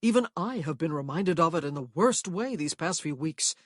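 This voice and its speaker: A woman voicing an earnest, lovesick young gentleman who speaks with emphasis. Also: low-pitched, lively intonation, normal speed, no echo, clean studio sound. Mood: urgent.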